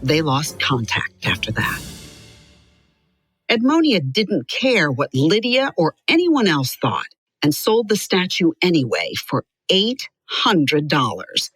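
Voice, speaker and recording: A woman reading a scripted story for children in a measured, expressive storyteller's delivery. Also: deep voice, husky, no echo. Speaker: podcast narrator. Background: music under the voice.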